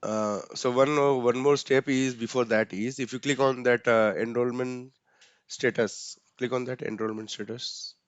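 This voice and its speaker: This man with an Indian accent explains settings in a loose, conversational way, dotting his talk with "uh" and "right?". His voice sits at a middling pitch. The sound is dry and a bit muffled, like a video call. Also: fairly even intonation, normal speed, smooth, no echo. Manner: casual, many fillers, frequent tag "right?". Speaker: instructor. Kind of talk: explaining software settings step by step.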